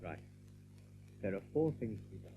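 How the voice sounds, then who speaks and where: A man's voice: fairly low pitch, big pitch swings, normal speed, soft background music. A man playing a brave, decisive young rabbit leader in an audio drama, earnest and commanding. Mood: calm, planning. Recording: thin narrow-band recording with faint noise.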